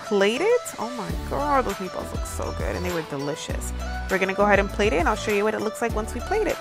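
A woman's voice: mid-range voice, big pitch swings, quick pace, gravelly. A young American woman with a mid-range voice narrates home cooking in a chatty, informal way. She speaks as a vlogger walking viewers through each step. Faint music plays behind her. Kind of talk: casual narrated cooking demonstration. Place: home kitchen, indoors.

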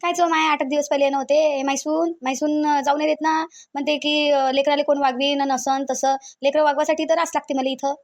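A woman plays a fretful older village mother chatting away on the phone in a cartoon. Her voice is high and quick, with a Varhadi Marathi accent. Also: flat delivery. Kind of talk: cartoon voice acting.

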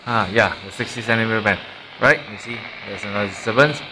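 A man's voice: on the low side, quick pace, background noise. A man with a Malaysian accent casually showing a gadget, unscripted, often repeating and correcting himself. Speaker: amateur product reviewer. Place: small room indoors at a desk. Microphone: built-in mic of a handheld camera.

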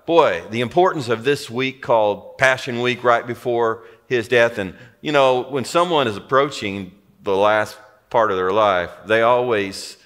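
An older man, a pastor, co-teaching a sermon in a relaxed, reflective, conversational way in a large church auditorium. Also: medium pitch, measured pace, few pauses. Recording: long hall reverb.

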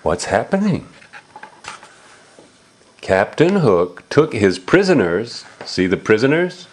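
A man reads a storybook to a young child at an unhurried pace. His pitch moves up and down in a lively way as he asks simple questions and explains words, with exclamations along the way. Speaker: adult reading aloud to a young child. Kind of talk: animated picture-book read-aloud with questions to a child.

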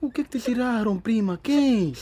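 Husky, protective young cousin. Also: male voice, extremely high pitch, lively intonation, moderate pace, dark tone. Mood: concerned. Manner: caring, earnest. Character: supportive young male cousin, teasing and protective. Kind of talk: radio drama acting.

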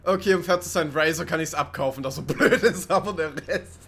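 Higher-pitched man, sarcastic gaming commentary. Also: brisk, gravelly, fairly bright tone. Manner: sarcastic, chuckling asides. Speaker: let's play commentator. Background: game music and car sounds.

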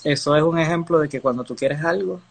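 Middle-aged Puerto Rican man, higher-pitched voice. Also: a little fast, creaky. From Puerto Rico. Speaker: host, interviewer. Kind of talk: casual live interview chat. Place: indoor living room. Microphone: phone at arm's length, video call. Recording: noisy phone video call, clicks.

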